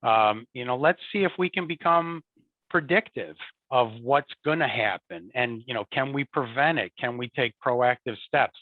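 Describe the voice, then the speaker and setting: A man's voice: medium pitch, normal speed, no room sound. A man speaking as an interview guest in a conversational way. He repeats words and often adds "you know" and "right?". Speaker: guest, support and field services executive. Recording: tinny remote call audio, dry.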